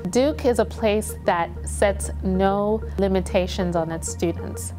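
A woman's voice: mid-range voice, takes it slowly, music in the background. A young woman from Zambia, a medical researcher, speaking earnestly in a documentary interview.

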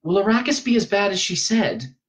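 Man playing a troubled noble youth, earnest, raised pitch, lively intonation, audiobook fantasy. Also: a little fast. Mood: thoughtful. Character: earnest, troubled teenage boy, a duke's son.

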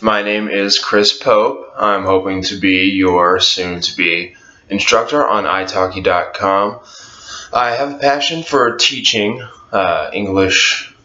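A young man introduces himself as a tutor, earnest and a little halting, with plenty of ums and uhs. His voice sits very low. The webcam audio is dull and lo-fi, with echo from a bare bedroom. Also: sharp tone. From Atlanta, Georgia. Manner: earnest, halting with frequent fillers. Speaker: online English tutor. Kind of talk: self-introduction to camera. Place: small bedroom, indoors. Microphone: webcam at desk.

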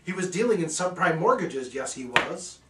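Male storyteller, theatrical, unhurried, very animated intonation, for rhyming bedtime parody; roomy, slightly distant sound. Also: a little high. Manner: theatrical, measured. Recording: roomy, somewhat distant microphone.

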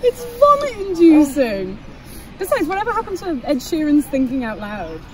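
An actress playing a teasing, blunt young British friend in a naturalistic audio drama, with an expressive, mocking way of talking. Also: female voice, high voice, moderate pace, rough recording. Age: young adult. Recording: outdoors, loud chatter and street ambience.

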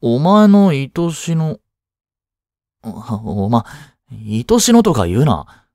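A man playing a blunt high school boy in a voice drama, a jealous childhood friend who speaks casually and gets flustered. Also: very animated, slow, a little mellow, dry recording, clean studio sound. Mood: grumpy, sleepy. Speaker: voice drama actor. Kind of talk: Japanese audio drama acting for women listeners.

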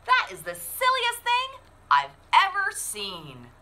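A woman's voice: very high-pitched, huge pitch swings, slow pace, sharp tone, pitched above the performer's own voice, exaggerated voice. A woman voicing a skeptical, scornfully amused female farm cow in a picture book, with emphatic incredulity. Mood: incredulous.

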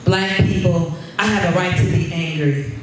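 A woman poet in her thirties reciting at a live reading in a measured, emphatic, incantatory cadence. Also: on the low side, steady tone. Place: indoor gallery space with seated audience. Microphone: stand microphone, recorded from camera at a distance. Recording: echoey room, distant mic, background noise.

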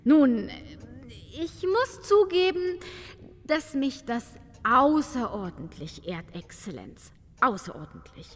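A woman's voice: sits high, very animated, takes it slowly, heavily acted. A woman playing a haughty, refined countess in a comedic audio drama, with formal, theatrical diction. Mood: gracious, firm. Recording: long hall reverb.

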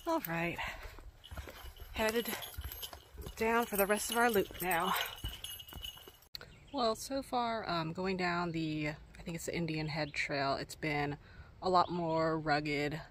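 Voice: medium pitch, takes it slowly, pauses often, background noise. Young hiker vlogging on a forest trail. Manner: relaxed, thinking aloud with many fillers. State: a little out of breath while hiking. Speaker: hiking vlogger. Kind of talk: casual hiking vlog, talking to camera. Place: wooded mountain trail outdoors. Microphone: phone held at arm's length.

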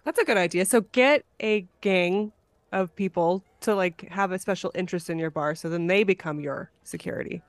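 A woman's voice: on the low side, moderate pace, dry recording. A woman with an American accent hosting a casual podcast conversation. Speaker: podcast host.

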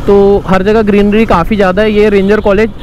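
A young man, talking casually and rambling on while he rides, his voice sitting fairly high. It is picked up by an action camera mic on a moving motorcycle, with clear wind and traffic noise around him. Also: level tone, fairly quick, a little mellow, highs cut off. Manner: chatty, rambling, friendly asides to viewers. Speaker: motovlogger. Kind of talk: casual riding commentary. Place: on a motorcycle riding outdoors on roads.

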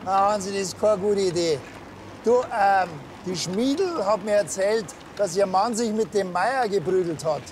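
An actor playing a blunt, laconic village policeman with a Bavarian accent in a TV crime comedy, deadpan. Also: high voice, moderate pace, slight background noise, natural voice. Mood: casual.

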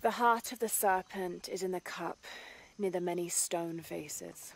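A woman's voice: fairly low pitch, measured pace, gravelly, poor audio. A woman playing a grim, burdened young adventurer in a video game cutscene, earnest and intense. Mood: grim, subdued. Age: young adult.

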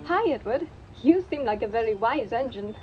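A woman playing a bright, friendly diesel girl. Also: fairly high pitch, expressive, normal speed, lo-fi sound, natural voice. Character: friendly young female diesel engine. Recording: music underneath.

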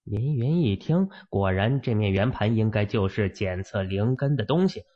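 A man's voice: lowish voice, animated, normal speed, highs cut off. A man narrating fiction in a dramatic, scripted audiobook style.

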